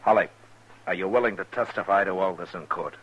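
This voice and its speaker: Deep, husky frontier marshal. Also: male voice, a little fast, a bit bright. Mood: reassuring, questioning. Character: calm, authoritative frontier marshal in a western. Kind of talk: 1950s radio western acting. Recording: dull vintage 1950s radio recording.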